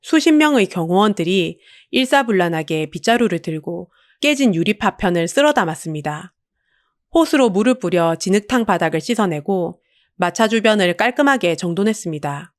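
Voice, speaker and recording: A woman's voice: fairly low pitch, lively intonation, moderate pace, no room sound. Woman narrating dramatically, dry studio sound. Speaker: audiobook narrator.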